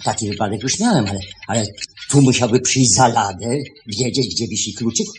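An actor playing a suspicious, irritable shopkeeper in a radio play, stammering and repeating words insistently. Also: male voice, lowish voice, brisk, echoey room, lightly acted. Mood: deliberate, pondering. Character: suspicious, irritable male shopkeeper interrogating his assistant. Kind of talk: 1970s Polish radio play acting. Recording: noisy old 1970s radio recording.

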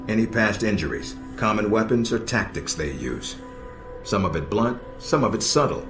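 An actor playing a calm, sardonic middle-aged spy handler in a video game, measured and dryly blunt. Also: male voice, low-pitched. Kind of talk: video game cutscene acting. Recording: roomy echo with music behind.

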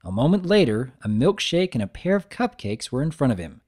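A man narrates a story in a dramatic reading style. His voice sits at a medium pitch and moves expressively up and down. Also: very animated, fairly quick, darkish tone, slightly rough.

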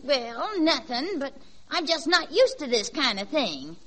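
High-pitched country scrub girl. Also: big pitch swings, unhurried, fairly bright tone, exaggerated voice. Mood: folksy, plaintive. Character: plain country girl working as scrub woman. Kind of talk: 1940s radio comedy acting. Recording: noisy 1940s radio recording, studio audience.

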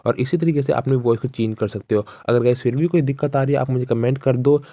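A man's voice: mid-range voice, fast talker, warm tone, no echo. A young man narrating a casual step-by-step phone tutorial, repeating instructions as he addresses viewers directly. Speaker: tutorial host.

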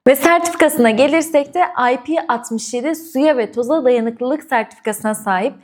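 High-voiced woman presenting a product review. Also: normal speed. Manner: clear step-by-step explaining, addressing viewers directly. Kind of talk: product review and unboxing demonstration.

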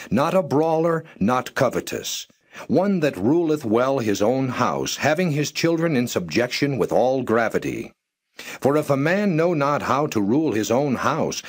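Male narrator for scripture: solemn and measured, medium register with lively inflection. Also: mid-range voice, breathy edge. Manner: measured, solemn, formal delivery. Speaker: Bible narrator. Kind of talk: scripted scripture reading, non-dramatized.

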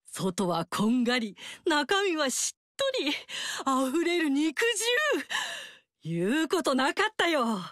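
A woman's voice: high-pitched, sing-song, slow pace, fairly bright tone, dry recording, full character voice. An actress voicing a brash, motherly fire-goddess warrior woman in a studio game scene, talking with bold, teasing, big-sister swagger. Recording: dry studio recording.

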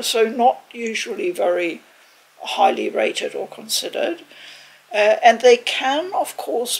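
An older woman, in her sixties or beyond, giving a measured, reflective video review in a conversational way, choosing her words carefully. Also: on the high side, creaky, husky, low-quality recording. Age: 60s or older. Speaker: game reviewer, video host. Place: living room, indoors.

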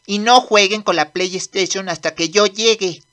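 A woman voicing a mischievous, excitable boy demon prince in a manga dub, brash and commanding. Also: medium pitch, normal speed, close to an everyday voice. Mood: bossy. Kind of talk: amateur manga dub acting.

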